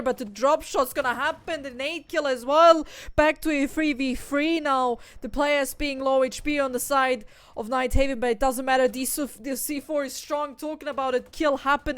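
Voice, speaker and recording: A young woman from Austria, commentating an esports match solo, breaking down tactics and lifting into excited calls on the kills. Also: high voice, no echo. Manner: analytical, rising to excited bursts on kills. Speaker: esports caster.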